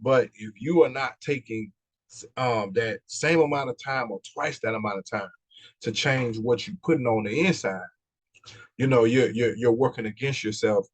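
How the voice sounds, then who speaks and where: A man's voice: mid-range voice, moderate pace. A man from Mississippi, a business coach and podcast guest, giving earnest motivational advice casually and repeating phrases as he goes. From Mississippi, USA. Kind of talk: casual motivational interview talk.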